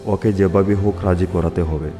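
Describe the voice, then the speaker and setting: A man's voice: low-pitched, steady tone, normal speed, pitched below the performer's own voice, lightly acted. A man voicing a cunning, wheedling lion in a fable cartoon. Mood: scheming, coaxing. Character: cunning male lion with a bone stuck in throat. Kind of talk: children's fable cartoon dialogue. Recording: loud music under the voice.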